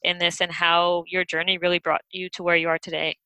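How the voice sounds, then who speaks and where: A woman's voice: lowish voice, completely flat delivery, brisk, bright, forceful tone, clear, resonant tone, no room sound, low-quality recording. A woman hosting a health podcast, introducing her guest and asking questions in an upbeat, enthusiastic way. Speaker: podcast host, nutrition practitioner and health coach.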